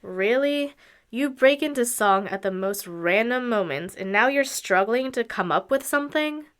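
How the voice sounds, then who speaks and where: A woman's voice: a little high, lively intonation, normal speed, a bit bright, clean tone. A woman playing a practical, supportive wandering musician in a radio drama, matter-of-fact and encouraging. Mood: skeptical.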